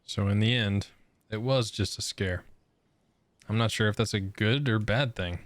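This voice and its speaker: A man's voice: lowish voice, a little slow, dry recording. A man doing casual, playful gameplay commentary and reading dialogue choices aloud into a close microphone. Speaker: gaming video creator.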